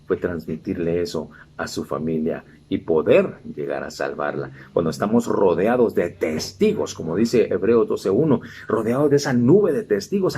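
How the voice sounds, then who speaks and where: A man's voice: medium pitch, vocal fry, mellow, slight background noise. A middle-aged man preaching a sermon as a pastor, building to emphatic, rising exhortations.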